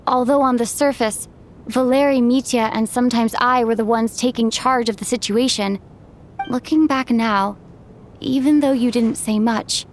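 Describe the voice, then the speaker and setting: A woman playing an earnest young female sleuth in a game dub, reasoning deliberately and with determination, step by step. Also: on the high side, slight background noise, natural voice. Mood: accusing, deliberate. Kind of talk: dramatic game dub acting.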